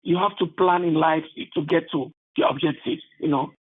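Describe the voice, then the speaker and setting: A man with a Cameroonian accent giving earnest interview answers as an author, haltingly, often adding "you know". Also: on the high side, steady tone, husky. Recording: thin phone-like line.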